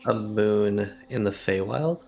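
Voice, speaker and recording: A man's voice: lowish voice, slow pace, vocal fry, a little mellow, a little hiss or hum. A man talking casually as a player in a tabletop roleplaying game.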